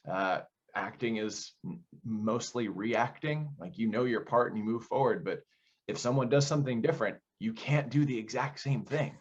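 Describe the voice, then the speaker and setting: A man's voice: mid-range voice, dull audio. A man giving thoughtful interview answers as a theater director, explaining things conversationally and building his points through repeated phrases.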